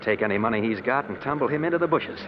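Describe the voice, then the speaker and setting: An actor playing a tough, scheming escaped convict with an American accent in an old-time radio drama. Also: male voice, medium pitch, animated, fast, natural voice. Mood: cold, scheming. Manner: tough, commanding. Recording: muffled, noisy old radio recording.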